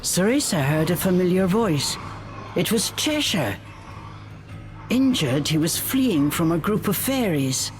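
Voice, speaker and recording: A woman playing a storybook narrator, telling a fairy tale in a video game in a measured, dramatic way. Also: lowish voice, very animated. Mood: storytelling. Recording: music behind the narration.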